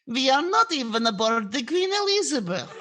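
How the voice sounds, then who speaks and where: A woman's voice: high-pitched, moderate pace, exaggerated voice. A woman playing a long-suffering, complaining housewife with inverted phrasing, in 1940s radio comedy style. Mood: woeful, droll. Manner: inverted syntax, dramatic deadpan punchlines. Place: indoors on a video call.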